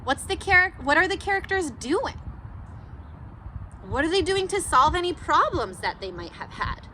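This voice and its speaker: A woman's voice: extremely high pitch, big pitch swings, normal speed, pushed and bright, slight background noise. A woman schoolteacher giving a read-aloud lesson to young children in an encouraging, questioning manner. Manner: encouraging, asks questions and answers them.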